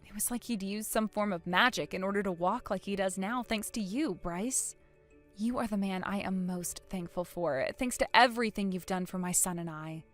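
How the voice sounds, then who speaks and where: A woman's voice: mid-range voice, moderate pace, faint music behind, natural voice. A woman playing a protective, outspoken mother in a melodramatic audio story, speaking earnestly and with emphasis. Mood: tearful, grateful. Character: fiercely protective, outspoken, emotional mother of a young son.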